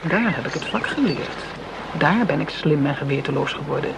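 A woman's voice: sits low, lively intonation, normal speed, vocal fry. An actress playing a cool, domineering woman who runs a counterfeiting gang in a radio play, with a condescending, commanding manner. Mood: persuasive. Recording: noisy radio play recording.

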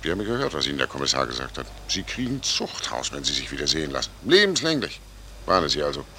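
An actor playing a gruff, stern but grudgingly helpful policeman in a 1940s radio drama, curt and commanding. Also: very deep voice, very animated, fast, pushed and bright, full character voice. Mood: stern, warning. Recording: noisy old 1940s radio recording.

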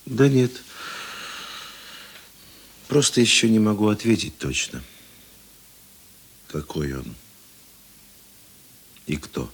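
A man acting a middle-aged, thoughtful and kind police investigator in a Russian radio play, husky and measured. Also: on the low side, big pitch swings, slight background noise, exaggerated voice. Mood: quiet, guarded. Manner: measured, self-deprecating, reflective.

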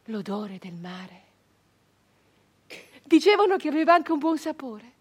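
An actress playing a passionate, wistful 19th-century village woman in an intense theatrical monologue, swinging between hushed and loud delivery. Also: high voice, very animated, a little slow. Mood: dreamy longing.

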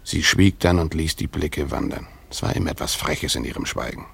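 An actor playing a brooding, lovesick former tutor, narrating a radio drama in a reflective, halting, self-questioning way. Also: male voice, very deep voice, vocal fry, fairly bright tone, slightly rough, heavily acted.

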